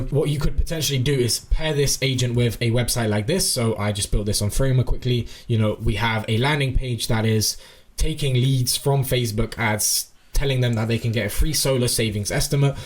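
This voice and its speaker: A man giving an informal, explanatory software walkthrough, thinking aloud as he presents. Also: medium pitch. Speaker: tutorial presenter.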